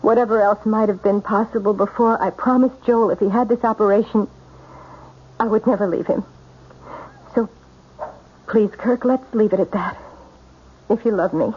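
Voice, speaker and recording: An actress playing a dutiful wife torn by forbidden love, earnest and strained, in 1970s radio drama style. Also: female voice, medium pitch, fairly even intonation, moderate pace, pauses often, a little hiss or hum, close to an everyday voice. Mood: anguished, resolute. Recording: dull, band-limited radio drama recording.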